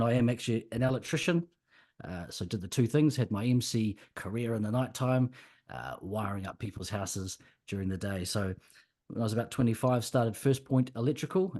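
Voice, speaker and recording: A man's voice: on the low side, darkish tone. A man in his forties with a New Zealand accent, a podcast guest telling his story in a friendly, easygoing way with plenty of fillers. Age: 40s. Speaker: guest, electrician and business owner. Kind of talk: casual podcast chat.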